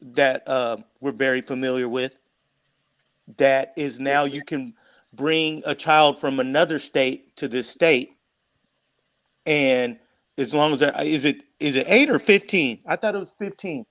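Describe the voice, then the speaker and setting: A man's voice: mid-range voice, a little slow, a bit bright, no echo. A man in a casual, heated panel discussion, speaking colloquially and insistently as he argues his point.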